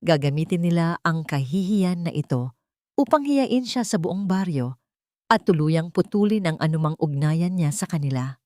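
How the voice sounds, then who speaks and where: A woman's voice: on the low side, animated, moderate pace, darkish tone, dry recording, clean studio sound. A woman narrating a scripted audio drama story in a dramatic storytelling style.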